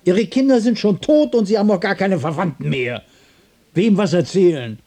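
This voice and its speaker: A restless, irritable loner in a radio play. His voice is husky and mellow, set a little high. He muses slowly in broken, repeated phrases and can turn cranky. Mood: bitter. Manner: broken, repetitive musing, breaking into cranky outbursts. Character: distraught, irritable, restless loner adrift in the world. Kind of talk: literary radio drama monologue and dialogue.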